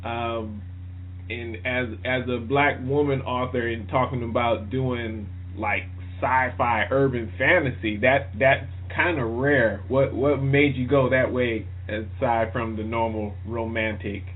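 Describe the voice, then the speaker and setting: A man's voice: medium pitch, steady tone, a little slow. Male interviewer with narrow phone-like sound. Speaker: radio show host, interviewer. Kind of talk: casual interview chat. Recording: thin phone-quality radio audio.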